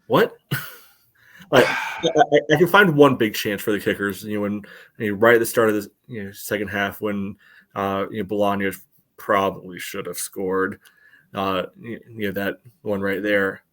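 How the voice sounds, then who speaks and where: Lower-voiced man co-hosting a podcast. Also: fairly even intonation, moderate pace, fairly bright tone. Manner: peppers speech with "you know". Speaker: podcast co-host. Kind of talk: casual sports chat.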